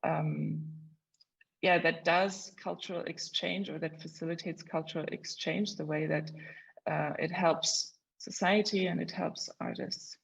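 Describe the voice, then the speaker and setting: A woman speaking as a panelist and head of a cultural institution, measured and reflective, on slightly dull audio. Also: low-pitched, steady tone, gravelly, breathy edge. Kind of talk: panel discussion remarks.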